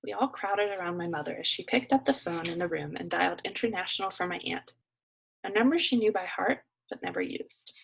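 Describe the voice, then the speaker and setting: A woman narrating a children's story as a read-aloud, in an expressive storytelling style. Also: on the low side, a little fast, creaky, a little hiss or hum, poor audio.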